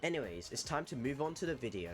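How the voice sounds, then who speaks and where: Man, mid pitch, jokey gaming intro, music underneath. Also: sing-song, fast. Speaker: gaming channel host. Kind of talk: casual gameplay voice-over.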